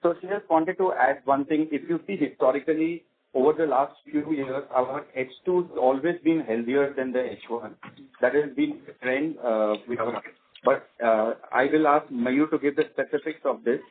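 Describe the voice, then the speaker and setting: A man with an Indian accent answers on an earnings call as a company executive, explaining in a halting way. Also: mid-range voice. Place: conference call. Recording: tinny phone-like conference line.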